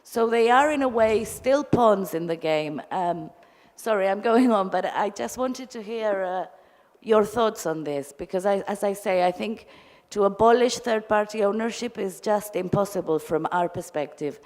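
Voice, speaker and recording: A woman's voice: medium pitch, moderate pace, darkish tone. A woman from Argentina speaks from the audience at a conference, putting an articulate, discursive question to a panel. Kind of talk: spontaneous question and comment at a conference. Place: large indoor conference hall. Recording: echoey hall, long reverb.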